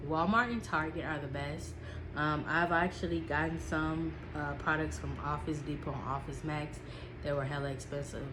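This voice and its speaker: A woman with a stuffed-up, sniffly nose talks through her notes in a low, relaxed voice. She chats along casually, filling pauses with ums and circling back over her points. A steady hiss sits under the recording. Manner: chatty, with frequent "um" and repeats. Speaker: small business vlogger.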